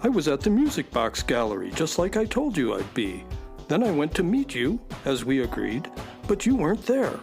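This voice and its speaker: A man voices a talking space horse, a friendly sidekick who likes to grumble. The delivery is lively and indignant, with light music behind it, in a children's radio play. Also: fairly high pitch, normal speed, creaky, exaggerated voice. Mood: defensive. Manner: expressive, indignant. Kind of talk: children's radio drama acting.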